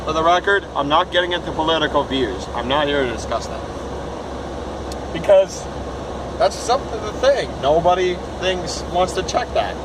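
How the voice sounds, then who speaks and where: A man's voice: on the high side, animated, moderate pace. Nineteen-year-old man ranting in a noisy car. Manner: swears freely, rambling and emphatic. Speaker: vlogger. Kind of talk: casual rant to camera. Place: in a car after work. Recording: loud car noise. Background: loud road and engine noise.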